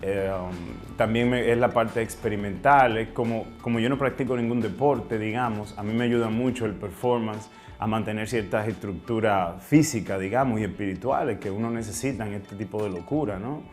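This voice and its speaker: A man with a Dominican accent and a fairly low voice speaks as an interview guest. He is reflective and feels his way through sentences, often pausing for a word or adding a short questioning tag. Faint music plays behind him. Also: darkish tone. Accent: Dominican Spanish. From Dominican Republic. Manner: hesitant, searching for words, frequent question tags.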